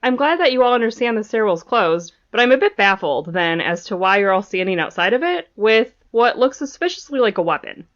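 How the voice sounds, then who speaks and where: A woman playing a brisk, suspicious academic in an audio drama, questioning people with arch politeness. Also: mid-range voice, sing-song, dull audio, lightly acted.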